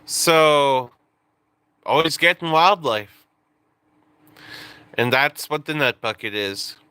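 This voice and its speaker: A man's voice: on the high side, animated, slow, bright, forceful tone, clean tone, no room sound. A man in his late twenties, chatting casually on a live stream in a rambling, joking way that often breaks off and restarts. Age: 20s. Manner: rambling, self-interrupting, joking.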